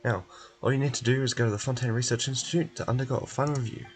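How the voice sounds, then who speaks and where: A man's voice: mid-range voice, steady tone, racing, close to an everyday voice. A man voicing a fussy, wordy, coaxing male bureaucrat in a video game. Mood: approving, fussy. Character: fussy male bureaucratic clerk, pleased and pushy, nursing hurt leg. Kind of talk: video game character voice-over. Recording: music behind.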